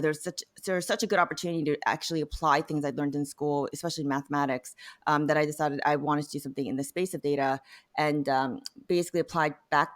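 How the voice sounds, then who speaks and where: A woman with a low-pitched voice talks at a quick clip. She is an interview guest telling personal career stories in an informal, conversational way, sprinkling in "you know" and "like" as she goes. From born in South Korea, later immigrated to the United States. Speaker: interview guest, data science professional.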